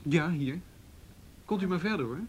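A man's voice: fairly high pitch, lively intonation, moderate pace, slight background noise, natural voice. A man acting in a radio play as a patient, principled police officer on duty, in naturalistic dialogue. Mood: polite, inquiring.

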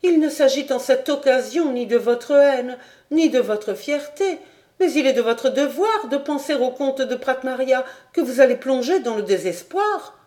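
A woman's voice: high-pitched, lively intonation, a little slow, warm tone, voice pushed up. A woman voicing an anxious young noblewoman who pleads urgently, in a storybook reading. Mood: pleading. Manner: urgent, imploring.

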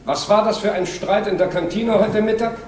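A man's voice: sits high, steady tone, moderate pace, a little mellow, natural voice. A man playing a firm, probing colleague with a terse way of talking in a stage drama. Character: firm, probing male colleague questioning a worker. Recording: distant echoey stage recording, faint noise.

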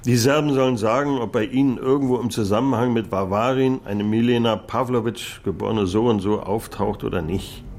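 A man's voice: medium pitch, steady tone, brisk, few pauses, darkish tone, close to an everyday voice. An actor playing an impatient male police investigator who asks blunt, brusque questions in a radio play.

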